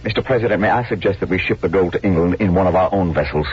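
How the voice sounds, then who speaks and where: An actor playing a resolute middle-aged colonel at sea in an old radio drama, formal and authoritative in delivery. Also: male voice, low-pitched, very fast, slightly rough. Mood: urgent, deferential. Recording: dull, noisy old radio recording.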